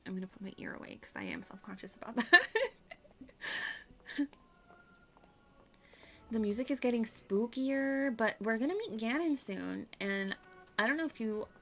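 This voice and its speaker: A woman's voice: fairly high pitch, animated, moderate pace, long pauses, slight background noise. A woman giving casual, playful playthrough commentary as a game streamer, chatty and prone to giggling.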